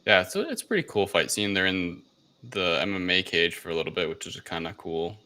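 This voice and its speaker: A man's voice: deep voice, expressive, brisk, bright, forceful tone. A man co-hosting a review show, chatting through a recap in loose, informal phrases. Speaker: podcast co-host reviewing films. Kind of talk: casual chat recap. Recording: faint background noise.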